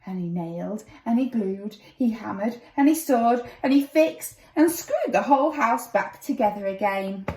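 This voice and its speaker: High, animated woman reading a children's story. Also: normal speed, hardly any pauses. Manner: dramatic, playful with sound effects. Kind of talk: expressive storybook narration. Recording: echoey room.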